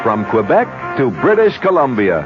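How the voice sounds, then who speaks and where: Music plays behind a male announcer on a dull 1970s radio recording. His voice is fairly high and swings animatedly through polished, upbeat advertising copy. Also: sing-song, moderate pace. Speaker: commercial announcer.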